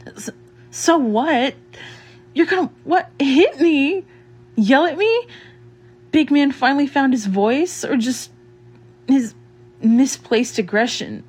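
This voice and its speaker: An actress performs a mouthy woman shop worker, cornered in an audio drama. Her voice sits very high for a woman. She speaks slowly in halting, broken phrases, swinging between bravado and fear. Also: lively intonation, a little mellow. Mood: defiant, scornful. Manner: halting, stammering, repetitive pleading. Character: mouthy defiant woman shop worker turned frightened, pleading captive. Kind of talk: solo dramatic audio roleplay.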